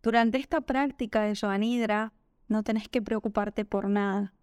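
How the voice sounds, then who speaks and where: A woman with a Rioplatense Spanish accent narrating a guided meditation in a gentle, calming, unhurried manner. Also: medium pitch, smooth, no room sound. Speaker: yoga nidra meditation guide.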